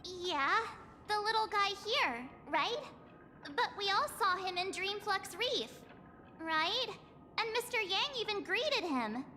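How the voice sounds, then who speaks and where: A woman's voice: extremely high pitch, animated, slow pace, bright, forceful tone, a little hiss or hum, full character voice. A woman voicing a bubbly, impulsive, puzzled teenage girl in a video game, with an informal, questioning way of talking. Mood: confused, eager. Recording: long hall reverb, music underneath.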